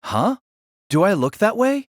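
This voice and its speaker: A woman's voice: mid-range voice, sing-song, unhurried, no echo, studio quality. A woman voicing a flustered teenage boy who keeps dodging questions with defensive denials.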